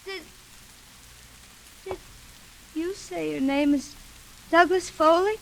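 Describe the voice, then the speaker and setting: An actress playing a hostile, confused recluse in an old radio drama, agitated and threatening. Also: female voice, very high voice, slow pace, creaky, a little hiss or hum, heavily acted, low-quality recording. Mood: dazed, bewildered. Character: hostile, confused reclusive aunt living in squalor. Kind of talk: 1940s radio acting.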